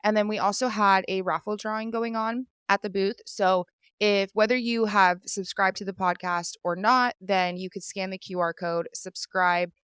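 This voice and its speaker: Woman host, casual rambling podcast chat, smooth clear tone, close dry mic. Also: mid-range voice, steady tone, normal speed, fairly bright tone, dry recording. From Vancouver, Washington, USA. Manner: chatty, rambling, full of "like". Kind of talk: casual solo podcast recap. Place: hotel room.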